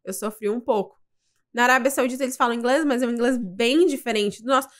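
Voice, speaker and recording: A young adult woman, a veterinarian guest, telling stories in a casual podcast chat and acting out quoted dialogue. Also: on the high side. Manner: animated, quick storytelling with quoted dialogue. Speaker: veterinarian guest, pet transport specialist.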